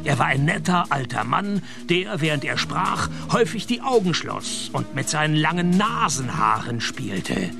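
An actor playing a grumpy, world-weary private detective in a comic radio play, with dry, sarcastic wit. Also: male voice, on the high side, big pitch swings, moderate pace, gravelly, hardly any pauses, over music, full character voice. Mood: wry narration. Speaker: detective narrator. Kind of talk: comic radio play acting and first-person noir narration.

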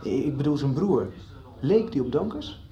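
Low, mellow, secretive teenager. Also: female voice, sing-song, normal speed, muffled recording. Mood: evasive, preoccupied. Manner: evasive, hesitant. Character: secretive, anxious teenage schoolchild. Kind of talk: Dutch radio soap acting.

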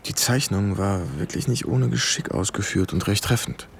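An actor playing a man who recounts his undercover wartime past in a radio drama, measured, wary and confiding. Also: on the low side, gravelly, slight background noise. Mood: reflective, wary. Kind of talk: radio drama first-person narration.

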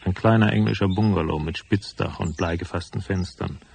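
An actor playing a tough, cynical private detective in a noir radio drama, speaking in a laconic, unsentimental manner. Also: male voice, low-pitched, fairly even intonation, fairly quick, vocal fry, darkish tone, husky, exaggerated voice. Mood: brooding, matter-of-fact. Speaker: narrator and lead character.

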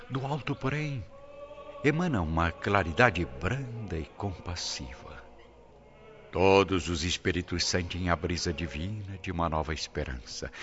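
A man's voice: deep voice, fairly bright tone, a little hiss or hum. A man narrating a radio soap opera in a solemn, grandiloquent style. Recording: dull lo-fi audio with music behind.

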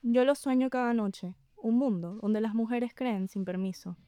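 A woman playing a mellow, dreamy painter. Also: on the high side, moderate pace, dry recording, natural voice. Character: dreamy, observant woman painter, gently curious. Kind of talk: scripted audio drama dialogue.

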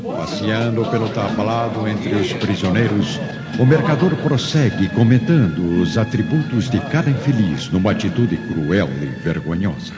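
Very deep Brazilian male narrator. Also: animated, moderate pace. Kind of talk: dramatic scripted narration of a radio serial. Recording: long reverb, dull, music behind.

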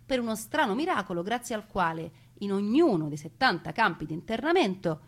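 A woman delivering a theatrical narrated monologue, expressive, with deliberate pauses and emphasis. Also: mid-range voice. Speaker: stage storyteller.

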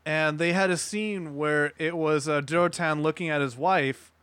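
This man has a somewhat high-pitched voice and a dry, close sound. He chats as a podcast panelist, stressing his points with earnest conviction. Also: steady tone, normal speed, a bit bright, smooth, no room sound. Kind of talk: casual panel discussion.

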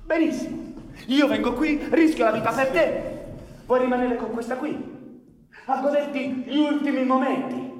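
A man playing a brash, hot-headed outlaw, crude and mockingly defiant, in a theatrical radio drama. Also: very high voice. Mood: urgent, angry. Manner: sardonic, swearing, theatrical. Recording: roomy echoing stage sound, distant, faint noise.

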